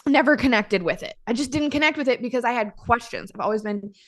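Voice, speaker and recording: A woman's voice: a little high, animated. A woman speaking as a podcast guest, a mother talking about faith in a candid, emphatic way. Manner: emphatic, candid, quick to exclaim. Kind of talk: casual faith conversation.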